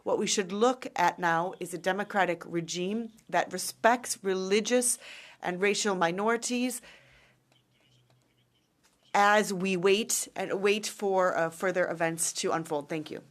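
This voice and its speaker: A woman's voice: medium pitch, a little slow. A female interpreter rendering a parliamentary speech into English in a formal manner, with a few fillers.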